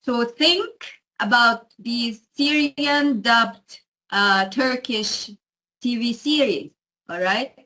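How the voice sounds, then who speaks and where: A woman's voice: on the high side, bright, forceful tone, poor audio. A woman giving an explanatory lecture to students, often checking in with her listeners. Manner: frequent check-ins with listeners.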